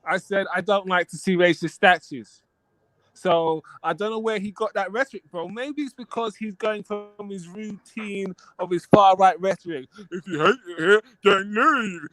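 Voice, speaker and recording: A man's voice: high-pitched, normal speed, fairly bright tone, rough recording. A man in a heated debate, combative and talking over others, repeating his points. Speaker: debate guest.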